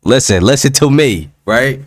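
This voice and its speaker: A young man streaming his reaction to a video in slangy, casual talk, his voice still hoarse from a sore throat. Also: mid-range voice, lively intonation, moderate pace. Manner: slangy, loose, talks straight to viewers. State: hoarse, voice recovering from strep throat.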